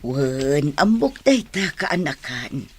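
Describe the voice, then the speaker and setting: A woman playing a caring, earnest middle-aged aunt in an audio drama, with a husky voice. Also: sits low, sing-song, slow pace, darkish tone, heavily acted. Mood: kindly, trusting. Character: caring older female relative, earnest and trusting. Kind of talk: audio drama acting in Ilocano.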